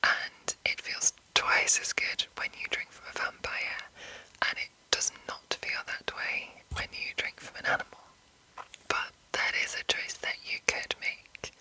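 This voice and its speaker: A woman speaks in a breathy, unvoiced whisper with a very bright, crisp edge. She explains things in a soft, measured way, as an ASMR host would, rambling a little without a script. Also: poor audio.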